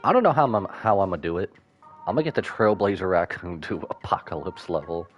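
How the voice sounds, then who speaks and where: Animated man doing casual game-stream commentary. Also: sits low, fairly quick, dull audio, soft background music. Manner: informal, muttering asides and exclamations. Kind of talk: casual reaction commentary while playing.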